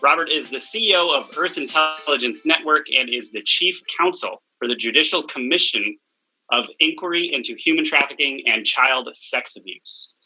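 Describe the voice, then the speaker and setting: A man co-hosting an interview show, reading a guest's bio in an upbeat, easy way, with a husky voice. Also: mid-range voice, pushed and bright, poor audio. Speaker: co-host.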